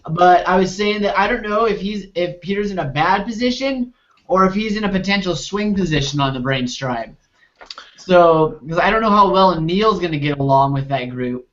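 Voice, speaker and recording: Higher-pitched young man hosting, slightly muffled. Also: expressive, moderate pace, a bit bright. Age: 20s. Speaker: podcast host. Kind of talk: casual group recap chat. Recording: muffled video call audio.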